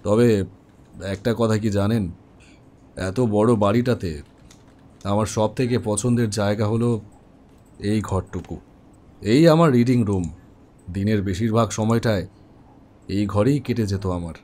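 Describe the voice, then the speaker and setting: A man plays an elderly homeowner from a horror cartoon, with a soft, dark-toned voice. He speaks in a reflective, gentle way, wistful and resigned. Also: lowish voice, measured pace, long pauses, natural voice. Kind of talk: Bengali horror cartoon dialogue.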